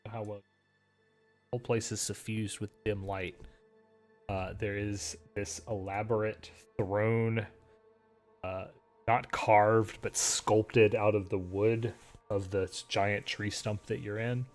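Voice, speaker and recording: A man running a tabletop game as game master, describing scenes in a relaxed way with many pauses. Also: on the low side, soft background music.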